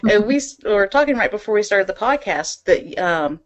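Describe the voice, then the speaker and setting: A woman's voice: medium pitch, expressive, moderate pace. A woman hosting a podcast and interviewing in a casual, conversational way. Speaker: podcast host.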